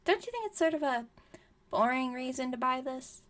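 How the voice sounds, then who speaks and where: Woman as scatterbrained young witch running a shop: high voice, theatrical salesmanship, chatty rambling, ASMR roleplay. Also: lively intonation, normal speed. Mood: deflated, earnest. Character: flustered, dramatic, scatterbrained young female witch shopkeeper.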